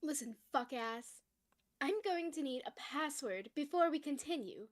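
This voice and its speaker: A woman's voice: high voice, animated. Woman reading a crude teasing troll. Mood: mocking. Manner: blunt, mocking, unhurried.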